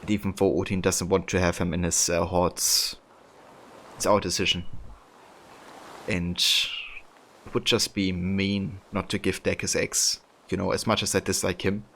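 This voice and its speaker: Low-voiced streamer with a German accent. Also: male voice, unhurried. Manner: relaxed, rambling, many fillers. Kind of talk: casual live-stream commentary.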